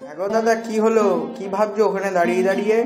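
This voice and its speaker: Casting: actor as friendly farmer neighbour for cartoon, brisk speech, high for a man, echoey track with music. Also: steady tone, natural voice. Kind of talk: Bengali moral-story cartoon dubbing.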